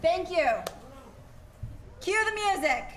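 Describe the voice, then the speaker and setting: A woman's voice: very high voice, expressive, takes it slowly, fairly bright tone. A woman speaking as director and host of a live radio play, addressing the audience and giving cues.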